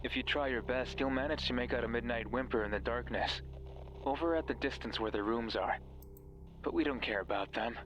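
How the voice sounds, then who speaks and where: A man's voice: mid-range voice, brisk, noisy background, close to an everyday voice. An actor playing a mysterious, controlling male voice in a horror game, taunting, sardonic and condescending. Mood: sardonic storytelling. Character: mocking, cruel, controlling mysterious male voice on a radio.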